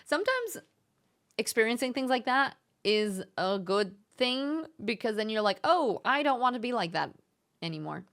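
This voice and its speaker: A young woman hosting a casual live-stream chat, speaking in a gentle, encouraging and thoughtful way. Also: fairly high pitch, very animated, moderate pace. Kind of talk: casual live-stream chat, reading viewer messages aloud.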